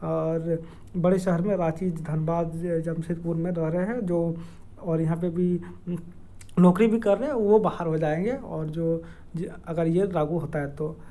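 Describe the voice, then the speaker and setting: Man, Jharkhand accent, dark mellow tone, hesitant delivery, frequent fillers and repetitions, informal educational explainer. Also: a little high, steady tone. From Dumka district, Jharkhand, India. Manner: halting, hesitant, many filled pauses and repetitions. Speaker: educational video creator. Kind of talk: informal explainer with screen walkthrough.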